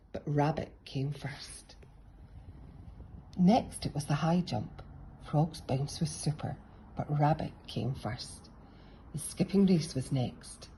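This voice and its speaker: A woman's voice: low-pitched, dark tone, slight background noise, rough recording. A woman narrating a children's picture book as a storybook reader.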